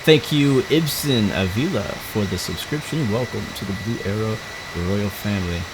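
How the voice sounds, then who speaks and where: Woman, from Houston, very low voice, rambling live-stream chat, clear background noise. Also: expressive, moderate pace. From Houston, Texas. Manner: rambling and chatty, frequent restarts and stumbles. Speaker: flight sim livestreamer. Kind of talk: casual live-stream chat while flying.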